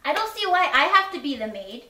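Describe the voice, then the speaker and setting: High-voiced indignant woman, roomy. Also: very animated, fairly quick, pushed and bright. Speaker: performer in a student comedy. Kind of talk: comic acting.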